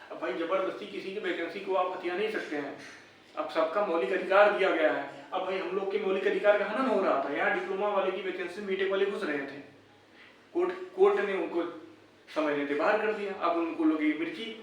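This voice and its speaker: A man, giving informal, blunt, argumentative commentary as an online host, full of rhetorical questions. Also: high voice. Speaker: YouTube exam-update host. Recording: echoey room, distant mic.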